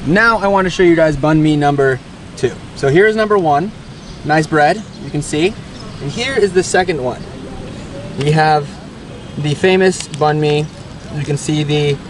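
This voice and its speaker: A young man talking casually and eagerly to a camera held at arm's length, outdoors in a city park, with lots of fillers. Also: a little high. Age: young adult. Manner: chatty, enthusiastic, many fillers. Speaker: travel vlogger. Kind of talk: casual travel vlog. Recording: outdoor street noise.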